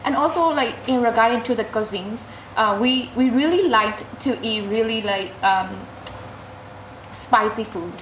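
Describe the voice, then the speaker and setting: A young woman, a student guest answering interview questions hesitantly, filling pauses with "like" and "um". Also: fairly high pitch, fairly bright tone, a little hiss or hum. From Myanmar. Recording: roomy echo.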